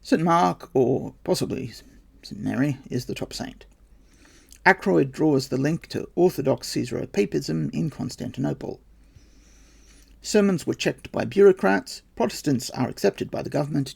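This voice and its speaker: A man's voice: on the high side, gravelly, a little mellow, slightly rough. A man hosting a podcast, reading his research notes aloud with dry, wry asides. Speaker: podcast host.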